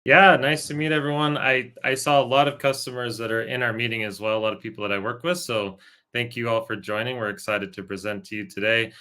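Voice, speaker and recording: A man's voice: mid-range voice, flat delivery, fairly quick, sharp tone. A man who is head of sales introducing himself on a webinar in a friendly, upbeat way.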